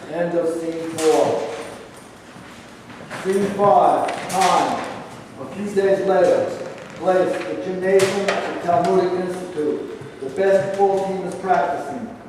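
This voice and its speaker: Guy narrating a play script, high voice, very echoey, far from mic. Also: slow pace. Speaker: stage-direction narrator. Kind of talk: scripted narration at a staged reading. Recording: echoing hall, distant mic, faint noise.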